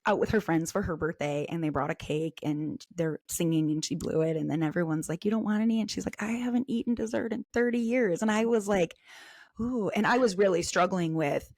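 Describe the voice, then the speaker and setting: A woman's voice: on the low side, brisk, a little mellow, dry recording, studio quality. A woman in her forties co-hosting a podcast, telling stories in a chatty way full of fillers. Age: 40s. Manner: storytelling, chatty, many "like"s. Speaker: podcast co-host. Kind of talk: casual podcast chat.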